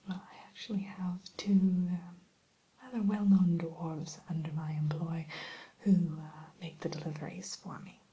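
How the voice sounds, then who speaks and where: A woman playing a polite, primly formal evil queen shopkeeper in a soft, unhurried ASMR whisper. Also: on the low side, flat delivery, natural voice. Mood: pleased, genteel. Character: genteel evil queen running an enchanted mirror shop. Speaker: shopkeeper character in ASMR roleplay.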